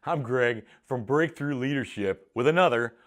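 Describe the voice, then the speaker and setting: A man's voice: medium pitch, normal speed. A middle-aged man giving a motivational monologue as a leadership coach, speaking in a punchy, emphatic, challenging way. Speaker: leadership coach and show host.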